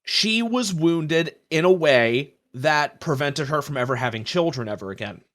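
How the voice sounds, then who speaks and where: A man's voice: fairly high pitch, animated, normal speed, a bit bright. A man co-hosting a casual discussion of tabletop roleplaying, telling stories in an earnest, emphatic way. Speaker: podcast co-host.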